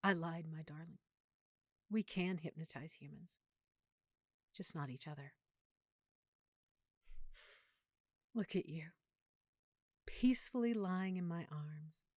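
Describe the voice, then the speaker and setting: A woman's voice: sits low, a little slow, pauses often, a little mellow, no echo, clean studio sound. A woman playing an affectionate, opinionated girlfriend who is secretly a vampire, in an intimate, conversational audio roleplay. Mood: tender, hushed, secretive. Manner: chatty, playful, geeky explaining.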